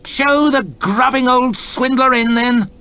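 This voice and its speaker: A haughty lord chamberlain, put on by a male narrator, barks his scorn at everyone in the room. The voice sits high and strained, and it leaps wildly between words. This is storybook reading with full character voices. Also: animated, moderate pace, fairly bright tone. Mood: blustering. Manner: arrogant, bellowing and contemptuous. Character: pompous, irritable middle-aged male lord chamberlain.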